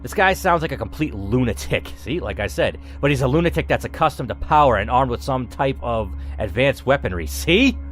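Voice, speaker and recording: A man's voice: mid-range voice, animated, fairly quick, hardly any pauses. A man reading a story game aloud as channel host, moving between dramatic narration and casual asides. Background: faint music.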